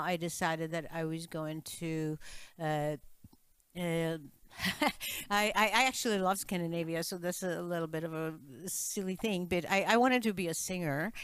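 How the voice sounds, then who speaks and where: A woman's voice: fairly low pitch, smooth, no echo. Chatty Czech-accented older woman on a call. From Czech Republic. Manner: chatty storyteller, many fillers and restarts. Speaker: guest musician. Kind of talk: video call interview. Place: home room with piano, indoors. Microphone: studio mic on stand, video call.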